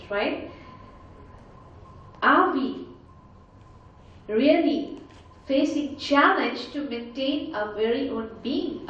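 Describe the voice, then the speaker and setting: A woman's voice: high voice, a bit bright, recorded from a distance, lo-fi sound. Indian-accented woman speaking in a big echoey hall. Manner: emphatic, full of rhetorical questions. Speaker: motivational speaker and life coach. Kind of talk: motivational talk to a live audience. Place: large conference hall with an audience. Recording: very echoey hall, voice picked up from afar.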